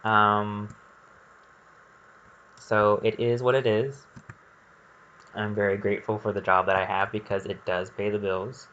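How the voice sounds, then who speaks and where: A man's voice: on the low side, level tone, a little slow, pauses often, a bit bright, highs cut off, slight background noise. A man giving casual, rambling gameplay commentary, thinking aloud in a candid and hesitant way. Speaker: gaming video creator.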